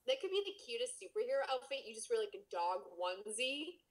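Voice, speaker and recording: A woman's voice: on the high side, very animated, fast talker. A woman co-hosting a podcast, playful and teasing as she banters and reads aloud casually. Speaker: podcast co-host.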